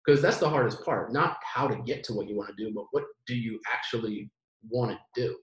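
A man's voice: fairly low pitch, a little fast. Young male guest, late 20s, loose rambling talker with a bit of room echo. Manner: rambling, animated, many restarts. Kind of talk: casual conversation.

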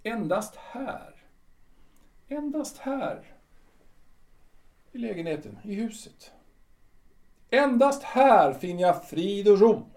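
A man's voice: high voice, very animated, pauses often, a little mellow, distant mic. A man playing a proud, brooding retired official in his sixties in a radio drama, speaking theatrically and repeating himself emphatically. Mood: indignant. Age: older. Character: proud, brooding 62-year-old retired official clinging to memories.

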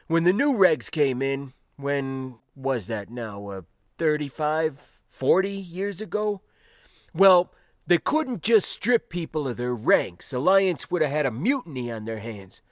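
Guy doing old spacer cargo hand, slow n folksy, bit higher voice, proud old timer rambling, audiobook style. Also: no echo, studio quality. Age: older.

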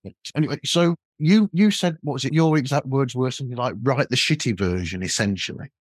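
A man's voice: mid-range voice, a little fast, husky, no room sound, clean studio sound. A man hosting a podcast, chatting casually in a rambling, anecdotal way. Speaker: podcast host. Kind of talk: casual conversational interview.

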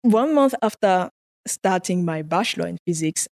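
A woman's voice: on the low side, animated, moderate pace, creaky, a little mellow. Woman, earnest. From Cameroon. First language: French. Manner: earnest storytelling, occasional laughs and repeated words. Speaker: guest research scientist. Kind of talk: conversational interview answers.

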